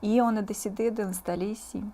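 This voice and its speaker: A woman from Moscou in her thirties with a Russian accent, speaking French as a second language. She is a guest in a casual interview and talks hesitantly, with many fillers and pauses. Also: mid-range voice, fairly even intonation, warm tone. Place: community TV studio.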